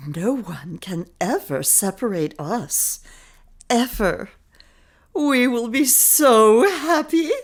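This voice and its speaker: A woman's voice: on the high side, animated, slow pace, heavily acted. A woman playing a wronged, slightly mad mother in a horror melodrama, speaking with theatrical, menacing deliberation. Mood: tender, madly happy. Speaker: actress in an audio drama. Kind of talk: melodramatic horror radio play acting.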